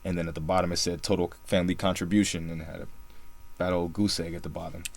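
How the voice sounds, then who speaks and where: A man's voice: low-pitched, level tone, quick pace, creaky. A young man from Singapore co-hosting a podcast, telling his story in a laid-back way with lots of fillers. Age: young adult. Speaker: podcast co-host. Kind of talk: casual podcast chat.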